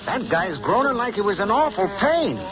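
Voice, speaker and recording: A crude gangster sidekick, bouncy pitch, slangy, muffled 1930s radio recording. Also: male voice, big pitch swings, fairly quick. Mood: eager. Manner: slangy, eager and talkative. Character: eager male gangster henchman, chatty and crude. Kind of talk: 1930s radio drama acting. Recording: muffled old radio broadcast with hiss.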